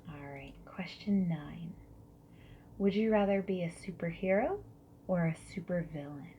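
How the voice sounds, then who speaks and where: A woman doing a soft-spoken ASMR roleplay as a friendly survey interviewer, slipping between whispers and quiet speech. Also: fairly low pitch. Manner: friendly, gentle, unhurried questioning with soft acknowledgements. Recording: lo-fi muffled sound with background noise.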